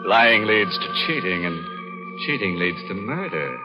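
Casting: male horror-anthology host, low voice, lively swoops, macabre mock-ghoulish humor, vintage radio with music behind. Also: unhurried. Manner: tongue-in-cheek, macabre, theatrical. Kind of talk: 1940s radio hosting, scripted.

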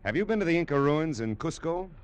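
An actor playing a breezy, mocking American passenger on a ship in a 1950s radio drama. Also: male voice, a little high, big pitch swings, fast talker, clean tone. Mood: sly. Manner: breezy and sly, laughing.

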